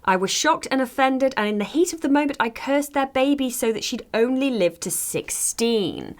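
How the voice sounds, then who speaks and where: A woman playing a fairytale podcast host, reading advice posts aloud in an expressive, conversational way. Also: a little high, natural voice. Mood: dramatic reading.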